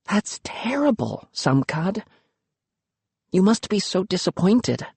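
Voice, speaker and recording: A narrator voicing a spirited young village girl who teases and insists, speaking in a raised, lively voice. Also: mid-range voice, a little slow, dry recording, voice pushed up. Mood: sympathetic. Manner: playful, insistent.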